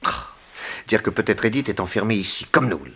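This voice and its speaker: An actor playing a bold, wry detective with a brisk commanding manner in a 1960s French radio serial. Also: male voice, lowish voice, gravelly, bright, forceful tone, husky, full character voice.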